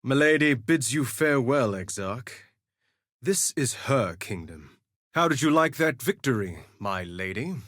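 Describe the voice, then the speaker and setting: An actor playing a vain, flirtatious gladiator duelist in a video game, with a theatrical, suave and sardonic delivery. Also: male voice, medium pitch, animated, measured pace, fairly bright tone, dry recording, studio quality. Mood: cocky, theatrical. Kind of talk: scripted game voice lines, theatrical quips.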